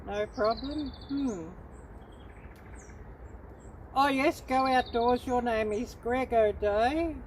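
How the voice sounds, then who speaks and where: A middle-aged woman from Australia hosting a casual livestream, chatting unhurriedly to viewers with many pauses and ums. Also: on the high side, a little mellow, slight background noise. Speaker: livestream host.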